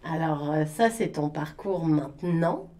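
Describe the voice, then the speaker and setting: A woman's voice: low voice, unhurried, warm tone. A woman from France with a Parisian accent, hosting a radio interview and asking chatty, friendly questions. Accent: Parisian French. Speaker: radio host.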